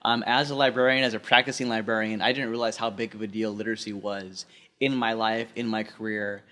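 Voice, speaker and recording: A man's voice: fairly low pitch, little pitch movement, a little fast, sharp tone. A man, a librarian, speaking earnestly in a podcast interview and repeating phrases for emphasis.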